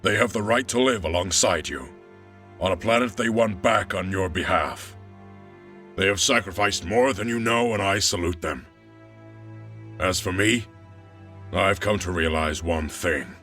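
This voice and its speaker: A man playing a noble, weary robot war leader in an audio drama, speaking in solemn, measured oratory. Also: low voice, fairly bright tone, far from the mic, soft background music. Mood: solemn, resolute.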